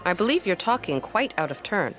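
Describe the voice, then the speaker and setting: An actress playing a reserved, keen-witted historian in a gothic audio drama, speaking formally with a dryly probing manner. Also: female voice, mid-range voice, very animated, a little fast, fairly bright tone, faint music behind. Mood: guarded, reproving.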